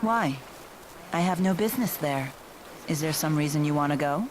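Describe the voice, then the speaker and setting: A woman's voice: low voice, animated, normal speed, a little hiss or hum. A woman playing a dignified, earnest spirit lord in a video game, composed, formal and matter-of-fact.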